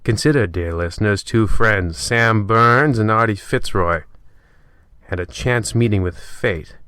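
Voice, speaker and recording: Man playing a deep, sinister radio horror host. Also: unhurried, sharp tone, clean tone, dry recording, clean studio sound. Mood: theatrical, ominous. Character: creepy, sly, theatrical male horror-show narrator with morbid humor. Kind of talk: old-time radio horror host narration.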